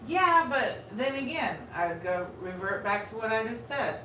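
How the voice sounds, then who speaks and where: A Texan woman chats loosely and frankly as she cooks breakfast on a live stream. She sits well back from the mic in a roomy kitchen, with a steady noisy hum behind her. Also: a little high, a little slow, recorded from a distance. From Texas. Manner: rambling and frank, talks while eating and cooking. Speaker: food vlogger. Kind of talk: casual live-stream chat while cooking.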